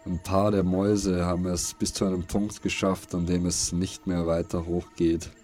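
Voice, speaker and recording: A man reading scripted literary narration for a dystopian radio play in a measured, grave storytelling manner. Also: deep voice, little pitch movement, warm tone. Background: prominent music behind the voice.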